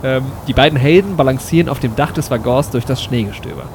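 A male game master leads an improvised audio adventure. He moves between storytelling narration and playful role-play in a mid-pitched voice, with clear vehicle-like ambience behind him. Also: fast talker, noisy background.

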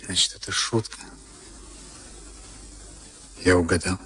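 An actor playing a weary, suspicious boxer in a Soviet radio play. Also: male voice, low-pitched, steady tone, takes it slowly, fairly bright tone. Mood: doubting. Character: weary, brooding, suspicious professional boxer, grown man. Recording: very noisy old radio drama recording.

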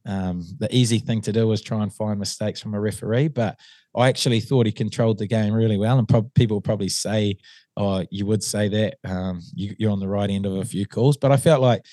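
A man with a New Zealand accent, an assistant rugby coach talking as a guest, his voice a little hoarse and his speech full of ums and restarts. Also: lowish voice, fairly even intonation, brisk, dark tone, no echo. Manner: hesitant, many ums and restarts. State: slightly hoarse. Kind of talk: casual interview chat.

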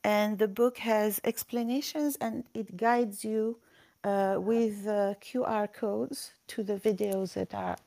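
A woman's voice: mid-range voice, steady tone, takes it slowly, dark tone, no room sound. A woman hosting a casual live chat, a friendly and patient Arabic teacher who helps guests find their words.

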